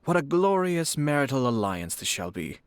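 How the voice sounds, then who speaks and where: An actor voicing a shrewd young male noble commissioner in a video game, courteous and measured. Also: mid-range voice, very animated, clean tone, no room sound, close to an everyday voice. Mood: gracious. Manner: courteous, measured, politely probing.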